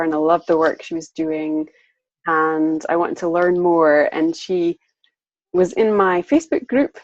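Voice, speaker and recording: Low-voiced woman host over a dull line. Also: no echo. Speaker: host and interviewer. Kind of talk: online interview.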